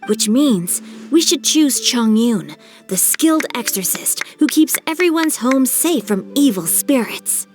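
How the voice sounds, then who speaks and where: A well-bred young master in a game dub, boyish and fairly high. The delivery is polite, articulate and lively, with light music behind. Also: female voice, unhurried. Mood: earnest. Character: refined, principled young merchant-family boy. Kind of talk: animated game dub acting.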